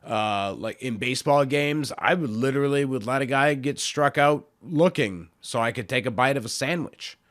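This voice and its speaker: A man hosting his own podcast, talking in a loose, rambling way with lots of fillers, his voice hoarse. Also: mid-range voice, moderate pace, hardly any pauses, fairly bright tone. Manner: rambling, filler-heavy, self-deprecating. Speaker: podcast host. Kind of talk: casual solo podcast talk.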